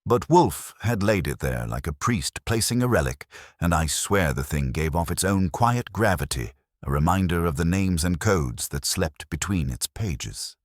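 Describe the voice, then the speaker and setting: A man's voice: sits low, animated, a little slow, few pauses, dry recording, studio quality. A man narrating a scripted detective story as an audiobook.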